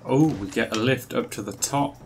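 A man's voice: mid-range voice, normal speed, gravelly, a little hiss or hum. A young man streaming a video game from a small room at home on a headset, casually reacting and chatting as he plays. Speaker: gaming streamer. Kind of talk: casual gameplay commentary. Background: loud game music.

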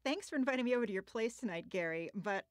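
A woman's voice: fairly high pitch, animated, fast talker, no echo, studio quality, lightly acted. An actress playing an uneasy woman fending off a pushy date in a comedic commercial, nervous and exasperated. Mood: nervous, polite. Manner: nervous, polite, increasingly exasperated. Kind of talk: comedic radio commercial dialogue.

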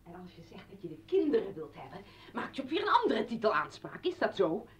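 A woman's voice: medium pitch, wildly swooping pitch, a little fast. An actress playing an anguished, accusing mother confronting her former husband in a radio play, bitter and pleading. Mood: bitter, mocking. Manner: bitter, pleading, breaking into whispers. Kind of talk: Dutch radio play acting. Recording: dull old radio recording.

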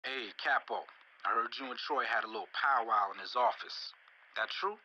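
A man's voice: mid-range voice, normal speed, natural voice. A man voicing a slangy guy on a dull voicemail. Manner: casual street slang. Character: street-talking young man leaving voice messages.

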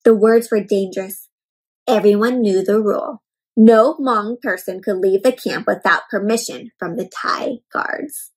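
A young adult woman reading a picture book aloud to children in an expressive, teacherly way, pausing to explain and ask questions. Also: medium pitch, husky, poor audio. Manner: expressive, emphatic, teacherly, slowing on key words. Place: indoor room at home.

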